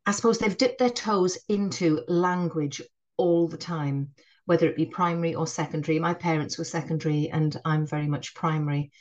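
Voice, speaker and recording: A woman's voice: sits low, normal speed, muffled recording. A middle-aged head teacher from the Northeast of England with a Northeastern accent, speaking reflectively in a podcast interview, often restarting her sentences. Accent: Northeast English. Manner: warm, reflective, frequent restarts.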